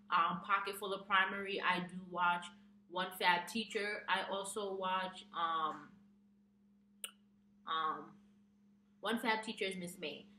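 Young woman vlogger, bright and chatty, lower-pitched voice, answering viewer questions in a casual, filler-heavy way; recorded from a few feet away in a small, roomy-sounding space with a faint hum. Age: young adult. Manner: chatty, reads questions off her phone, many ums. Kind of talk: casual tag video chat. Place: small room with a plain wall, indoors. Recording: echoey room, distant mic, faint hum.

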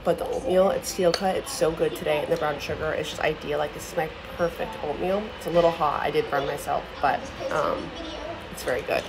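A young woman in her twenties, slightly hoarse from losing her voice, chatting casually to her phone camera in a vlog. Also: deep voice, fairly even intonation, a little fast. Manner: chatty, upbeat, lots of fillers. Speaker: vlogger. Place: city streets, airport lounge, resort. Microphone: phone held at arm's length. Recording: loud background noise.

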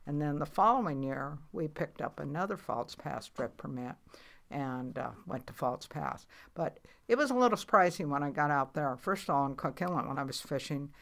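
A woman's voice: deep voice, animated, normal speed, creaky, fairly bright tone. A woman in her eighties, a veteran commercial fisher, telling her story in a rambling, matter-of-fact way with many restarts. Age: 80s. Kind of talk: casual storytelling interview.

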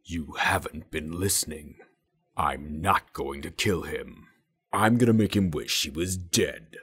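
Super low gravelly supervillain, cold threats, slow, processed voice. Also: male voice, a bit bright, heavily acted. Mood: cold menace. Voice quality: very deep, processed, rough. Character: menacing masked male villain, cold and threatening. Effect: pitch-lowered voice, some with distortion or chorus. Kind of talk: TV supervillain impression.

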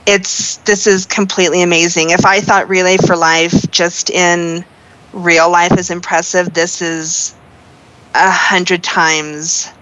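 A woman's voice: lowish voice, little pitch movement, slow pace, fairly bright tone, rough recording. A woman giving earnest, casual interview answers as a member of a charity team. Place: online voice chat in a virtual world.

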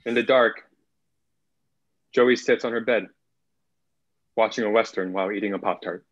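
Muffled low-voiced young man narrating. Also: fairly even intonation, moderate pace. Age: young adult. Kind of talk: reading screenplay stage directions. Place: indoor room, video call. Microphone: video call, computer mic. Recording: muffled video call audio.

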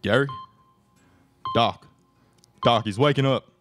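A man's voice: mid-range voice, takes it slowly, a bit bright, soft background music. A young man playing an earnest, reassuring brother in a radio theatre trailer parody. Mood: anxious reassurance. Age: young adult. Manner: earnest, dramatic. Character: reassuring brother at hospital bedside, secretly controlling. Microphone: microphone on stand.

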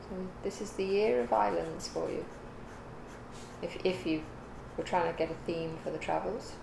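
Gravelly older woman in an echoey room. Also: fairly low pitch, moderate pace. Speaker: guest, the host's mother. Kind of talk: casual chat on a craft livestream. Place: living room or home craft room. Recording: echoey room, clear background noise.

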